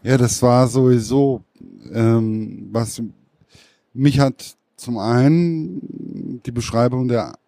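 A man interviewing a guest, phrasing his questions slowly and hesitantly, searching for words. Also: medium pitch, vocal fry, dark tone, dry recording, clean studio sound. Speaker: interviewer, host.

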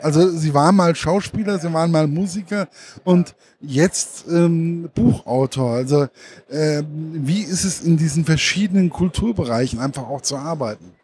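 A man's voice: on the high side, normal speed, darkish tone, husky. A man interviewing a guest at a book fair, asking hesitant questions with many fillers and repeated words.

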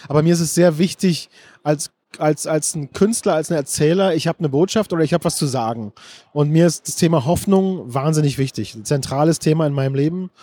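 A man's voice: a little high, dark tone. A middle-aged man interviewed at a book fair, speaking thoughtfully with frequent restarts and fillers. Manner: thoughtful, restarts sentences often, many fillers. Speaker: interview guest, screenwriter and director. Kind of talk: casual interview talk.

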